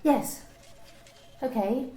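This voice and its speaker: A woman's voice: drawn-out words, warm tone, reverberant, slight background noise. A woman playing a guarded, hair-splitting employee. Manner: cautious and argumentative, hedging. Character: guarded, literal-minded young female employee. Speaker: actor in an amateur radio play. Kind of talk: amateur radio play acting.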